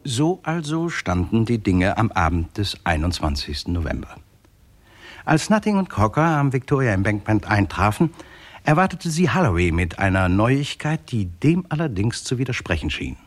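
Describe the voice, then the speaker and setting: An actor narrating a 1960s German crime radio play in scripted storyteller style. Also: male voice, fairly low pitch, big pitch swings, normal speed. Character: male storyteller of a police case.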